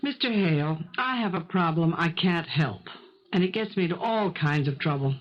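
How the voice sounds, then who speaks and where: A woman's voice: on the low side, animated, normal speed. An actress playing a blunt, probing maid who speaks her mind in a 1970s radio drama. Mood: probing, insistent. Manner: pointed, questioning, outspoken. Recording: dull 1970s radio broadcast recording.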